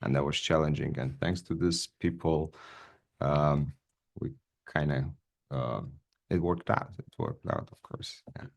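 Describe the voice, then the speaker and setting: A man whose first language is Armenian speaks in a very low voice. He tells stories in an animated way during a casual interview and laughs often. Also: measured pace, creaky. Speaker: actor, interview guest.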